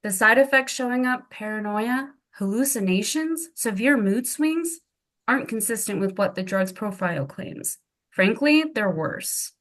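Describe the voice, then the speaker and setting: A woman playing a stern, coolly threatening medical colleague with a deliberate, pointed delivery at a drama table read. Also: medium pitch, animated, fairly bright tone. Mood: stern, critical.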